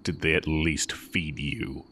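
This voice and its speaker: A man playing a smug, amused evil magic teacher in a romantic audio roleplay, with a sardonic, teasing drawl and a very deep, creaky voice. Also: steady tone, takes it slowly, pushed and bright, slight background noise, full character voice. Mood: sympathetic, fond. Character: evil male magic teacher, heretic, smug, amused, fond of former student.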